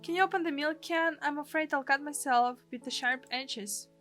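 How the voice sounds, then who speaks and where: A woman voicing an excitable, stubborn little sister as she reads visual novel dialogue aloud in a childish, emphatic way. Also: high voice, normal speed, soft background music, pitched above the performer's own voice. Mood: sulky.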